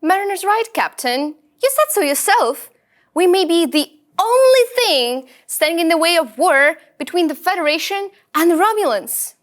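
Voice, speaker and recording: A woman plays a keen young starship officer at a script read. The voice is extremely high and lively in pitch, earnest, nervous and excitable. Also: moderate pace. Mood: earnest, worried. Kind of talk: character table read of sci-fi script.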